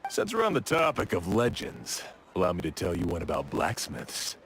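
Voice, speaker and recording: An actor playing a proud, exacting blacksmith in a video game, speaking in a measured, wry, matter-of-fact way. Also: male voice, sits low, huge pitch swings, fairly bright tone, slight background noise, full character voice. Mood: earnest, reflective. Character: proud, exacting male blacksmith, gruff but obliging craftsman. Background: game music.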